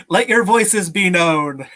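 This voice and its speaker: A man in his thirties with a lively, swinging pitch and a slow, halting delivery. He sounds like he is chatting casually on a live stream over a video call. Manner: halting, chuckles often. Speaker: author and game creator co-hosting a live stream. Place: indoor room at home, video call.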